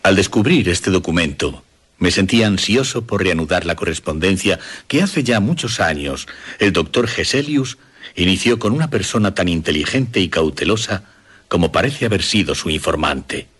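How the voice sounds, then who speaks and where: Radio drama: grave, formal Victorian man of letters, low husky voice, measured literary delivery. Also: lively intonation, fairly bright tone, exaggerated voice. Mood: solemn, regretful. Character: scholarly Victorian editor reading his solemn manuscript prologue.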